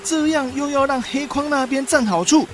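A woman playing an anxious, pleading frog underling in a radio drama, whining at the boss and adding croaks. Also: high-pitched, moderate pace, soft background music, lightly acted. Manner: whining, croaking at ends of speeches.

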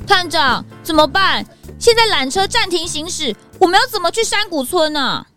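A woman's voice: extremely high pitch, expressive, normal speed, bright, forceful tone, soft background music, exaggerated voice. A woman voicing a cheeky, naive young detective's assistant in a childlike, teasing way for a children's audio drama. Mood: worried.

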